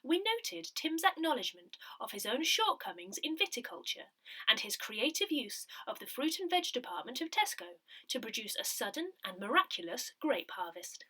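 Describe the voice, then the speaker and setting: Female newsletter narrator, slightly high-pitched, highly animated melody, measured and expressive read. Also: slightly rough, rough recording. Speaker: newsletter reader. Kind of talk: scripted narration, reading newsletter articles and verse aloud.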